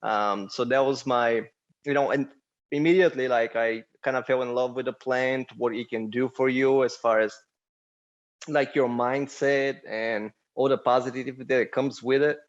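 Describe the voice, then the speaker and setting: A man's voice: mid-range voice, fairly even intonation, moderate pace, dull audio. A man from Bulgaria, a podcast guest talking easily with lots of "you know.". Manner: easygoing, many "um" and "you know" fillers. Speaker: guest, cannabis business co-founder. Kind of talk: casual interview chat.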